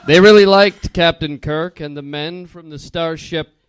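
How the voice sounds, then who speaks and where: A man with a high, smooth voice gives a slow comedic reading. He tells the story in a mock-earnest way, like a storyteller taking it all very seriously. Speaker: comedic reader on a stage show. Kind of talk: dramatic comedic reading of fan fiction.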